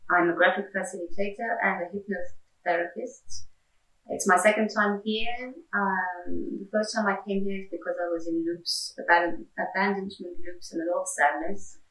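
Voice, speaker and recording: Bright-voiced woman, distant and echoey. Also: on the low side. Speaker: retreat participant. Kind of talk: testimonial interview. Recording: roomy, distant mic.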